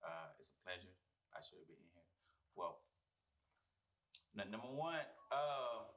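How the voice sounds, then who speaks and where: A young man with a Liberian accent talks in a relaxed, chatty way as an interview guest. His voice sits a little low but sounds bright and forward. He laughs often and restarts his words as he goes. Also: measured pace. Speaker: guest musician. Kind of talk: casual interview chat.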